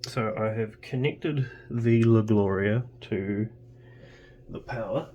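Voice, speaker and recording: A man narrating casually and haltingly as he tinkers at a workbench, thinking aloud. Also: fairly low pitch, warm tone, highs cut off, a little hiss or hum. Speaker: host of electronics tinkering videos.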